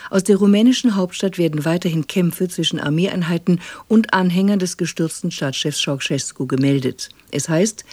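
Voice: mid-range voice, warm tone, no echo. A news reader delivering scripted radio bulletins in a formal, neutral manner.

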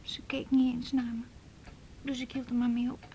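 An actress playing a hurt, defiant young runaway girl in a naturalistic radio drama, telling things in a halting, wry way. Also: a little high, completely flat delivery, mellow, a little hiss or hum, natural voice, low-quality recording. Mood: embarrassed, wry.

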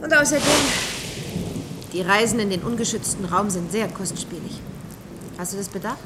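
An actress playing a skeptical, comfort-loving young woman of a future society, expressive and playfully doubting, in a German science-fiction radio play. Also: medium pitch, normal speed, heavy background noise, natural voice. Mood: worried, dismissive.

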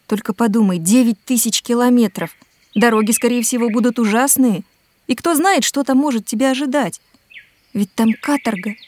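Actress as a sensible caring woman, highish voice, earnest, gently scolding, audio drama. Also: normal speed, dry recording, lightly acted. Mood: earnest, dissuading. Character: caring, sensible woman pleading with a restless man.

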